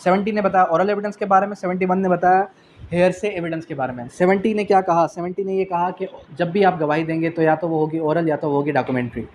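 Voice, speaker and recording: Higher-voiced Pakistani man teaching law. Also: steady tone, quick pace, darkish tone, a little hiss or hum. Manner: didactic, asks and answers own questions, repeats key phrases; switches into English for key terms. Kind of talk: exam revision lecture.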